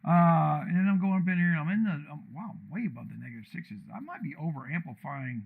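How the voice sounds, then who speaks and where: A man with a deep, commanding voice that sits somewhat high rants bluntly and loudly, wandering from point to point. He sounds like a casual live-streamer talking off the cuff. The sound is thin and tinny, like a phone line. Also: animated, a little fast, vocal fry, slightly rough. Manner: blunt, loud, rambling. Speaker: streamer tuning his microphone. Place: untreated room with echo and a computer fan.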